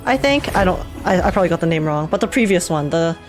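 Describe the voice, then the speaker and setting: A woman's voice: on the low side, lively intonation, fast talker. A woman giving casual, gushing and slangy live reaction commentary while playing a video game. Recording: game music behind the voice. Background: video game music.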